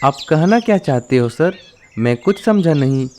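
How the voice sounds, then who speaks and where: A man's voice: on the high side, lively intonation, normal speed, warm tone, slight background noise, natural voice. A man voicing a deferential, placating crow who works as a school principal in a Hindi cartoon. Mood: puzzled, placating. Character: polite, sensible male crow school principal.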